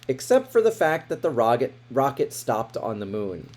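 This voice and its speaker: A man reads a picture book aloud with lots of expression and big swings in pitch. His voice is soft-edged and a bit mellow, with some huskiness, and he takes his time over the story, leaning on words for drama. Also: normal speed. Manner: expressive, dramatic emphasis with asides about the pictures. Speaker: storybook reader. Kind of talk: animated read-aloud of a children's picture book.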